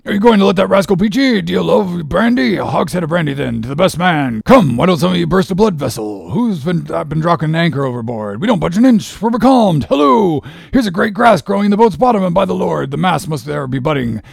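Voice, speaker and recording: A rowdy sailor, acted by a male narrator goads his rowers in urgent exclamations. The voice is raised high and loud, with a taunting edge, in a dry storybook recording. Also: animated, a little fast, gravelly, few pauses, slightly rough, no echo, full character voice. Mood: goading, rowdy. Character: rowdy, goading whaleboat mate rallying his crew.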